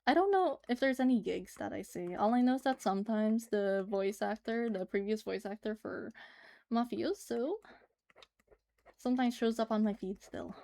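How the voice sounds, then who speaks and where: A woman's voice: a little high, moderate pace, vocal fry, dark tone. A woman livestreaming as she draws, chatting casually and giggling as she answers her chat. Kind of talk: casual chat while drawing on stream.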